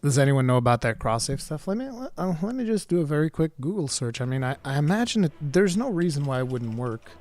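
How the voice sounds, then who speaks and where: A man's voice: fairly high pitch, fast talker, few pauses, no room sound. A Portuguese man with an American-sounding English accent, chatting casually as a streamer and reading viewer questions. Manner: excitable, laughs often, says dude a lot.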